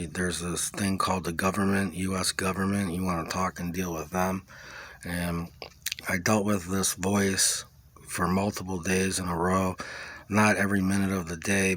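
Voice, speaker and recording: A man giving a rambling first-person account, halting as he searches for his words. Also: low-pitched, a bit bright, no room sound. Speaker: video creator recounting own experience.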